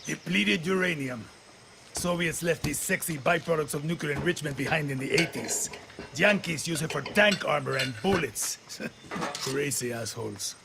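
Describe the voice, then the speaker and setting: A man's voice: a little high, moderate pace, creaky, background noise. A man voicing an eccentric, cocky older guerrilla weapons tinkerer in a video game, with a Latin American accent and playful, theatrical swearing. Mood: gleeful showmanship. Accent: Latin American Spanish.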